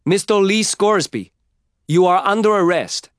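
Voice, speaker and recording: A man voicing a calm, firm young male customs officer in an audiobook, who speaks in formal, measured commands. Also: sits high, no room sound, clean studio sound, pitched above the performer's own voice, natural voice. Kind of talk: audiobook character voice.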